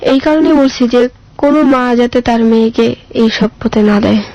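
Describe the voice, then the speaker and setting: A woman's voice: on the high side, moderate pace, warm tone, no room sound, dull audio. A woman with a Bangladeshi accent telling her life story as an interview guest in plain, colloquial, plaintive speech.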